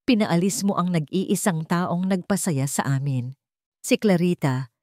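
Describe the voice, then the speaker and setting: A bitter, reproachful girl in a drama story, as a woman narrator voices her. The voice is faint yet sharp, recorded dry. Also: fairly low pitch, a little slow, dry recording, natural voice. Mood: accusing. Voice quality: weak but sharp.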